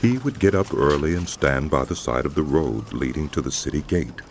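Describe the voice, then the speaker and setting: A man reading scripted narration for a dramatized scripture recording, with a deep, gravelly voice. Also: expressive, a little fast, noisy background.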